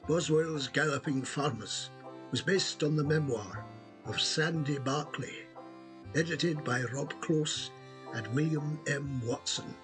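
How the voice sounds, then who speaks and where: A man announces closing credits over clearly audible instrumental music. He reads from a script at a very unhurried pace, with mid-range pitch.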